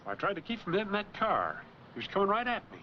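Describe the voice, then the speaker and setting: A man's voice: high voice, huge pitch swings, fast talker, a little hiss or hum. A man playing a cocky, defensive truck driver in a 1940s film noir. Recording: muffled 1940s film soundtrack, music behind.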